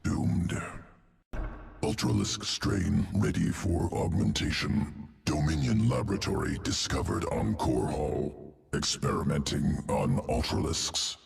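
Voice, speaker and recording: A man voicing a cold, calculating insectoid alien in a video game, speaking in clipped, telegraphic phrases with a gravelly, guttural voice. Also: sits low, animated, slow pace, faint music behind, full character voice. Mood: cold, clinical. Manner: clipped telegraphic phrases, dropped words, detached. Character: alien insectoid evolution master of a swarm, cold, clinical, calculating. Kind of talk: video game character dialogue.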